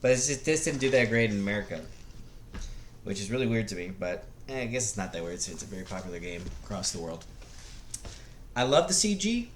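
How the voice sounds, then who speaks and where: Male video host, lowish voice, off-the-cuff and halting, roomy echo with faint noise. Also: very animated. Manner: halting, searching for words. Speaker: video host reviewing movies. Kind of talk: casual unscripted movie commentary. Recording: echoey room, faint background noise.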